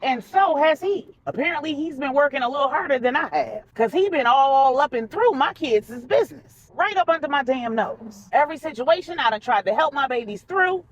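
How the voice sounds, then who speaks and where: A woman voicing a proud, sharp-tongued matriarch in a story, sassy and scolding in colloquial speech. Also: high-pitched, lively intonation, moderate pace, few pauses, slight background noise, pitched above the performer's own voice, poor audio. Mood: indignant, wry.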